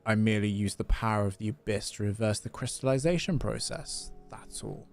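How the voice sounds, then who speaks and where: A man's voice: lowish voice, fairly quick, gravelly, a little mellow, soft background music. A man voicing an aloof, scornful game villain with haughty, formal, eloquent phrasing. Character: aloof, scornful male villain seeking liberation.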